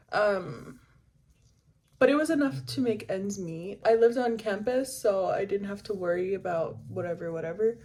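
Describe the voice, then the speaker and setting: A young woman of about twenty speaks in a medium-pitched voice, as in a personal vlog. She is from the Caribbean and talks in a casual, candid way, wandering between thoughts and adding emphasis as she goes. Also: darkish tone. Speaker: vlogger.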